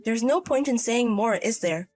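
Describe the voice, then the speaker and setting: A woman's voice: a little high, animated, moderate pace, darkish tone, faint music behind. A woman voicing a stern older mother and grandmother in a story drama, scolding and firm. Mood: scolding, exasperated. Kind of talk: acted dialogue in a narrated drama story.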